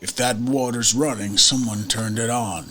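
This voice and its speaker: A man's voice: medium pitch, steady tone, normal speed, sharp tone, husky. A man chatting casually and jokingly as a player in a tabletop roleplay game, saying what his character does.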